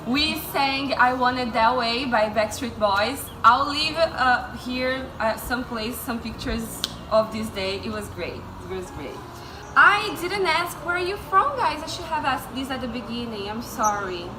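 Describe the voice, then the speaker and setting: A woman's voice: on the high side, lively intonation, unhurried. A woman, a Portuguese speaker, chatting casually as she interviews friends. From Brazil. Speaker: interviewer, English-teaching vlogger. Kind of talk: casual chat. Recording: echoey room with clear background noise.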